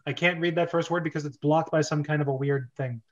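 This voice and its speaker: A man narrating a script read-through, casually reading stage directions in a halting way and correcting his misreadings. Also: a little high, steady tone, highs cut off.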